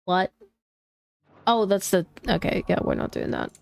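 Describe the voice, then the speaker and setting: A woman's voice: mid-range voice, big pitch swings, unhurried, creaky, a little mellow. A young woman with a posh British accent tinged with American, chatting casually and laughing often while streaming a game. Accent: posh British with slight American twinge. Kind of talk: casual chat while gaming.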